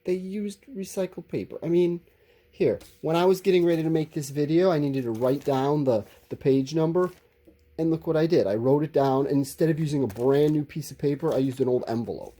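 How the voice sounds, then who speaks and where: A man's voice: fairly high pitch, mellow. A man teaching a recorded lesson, talking informally to his students and explaining things in a candid, conversational way.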